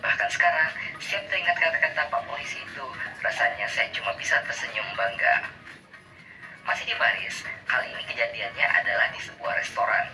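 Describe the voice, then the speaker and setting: A man's voice: mid-range voice, a little fast. A man narrating a first-person travel story in a formal, evenly read style. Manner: even, formal read-aloud delivery. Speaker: narrator of a played video. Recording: distant voice with music behind.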